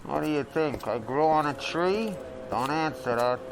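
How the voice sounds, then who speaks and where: A man's voice: fairly high pitch, expressive, a little slow, background noise. A man voicing a wisecracking, bossy ventriloquist's dummy in a radio drama, sarcastic and grumbling. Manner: sarcastic, grumbling, street-smart.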